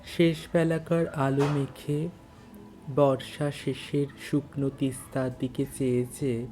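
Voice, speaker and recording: A storyteller narrating a scripted audio tale in an unhurried, literary way. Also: mid-range voice, flat delivery, warm tone, faint music behind, poor audio.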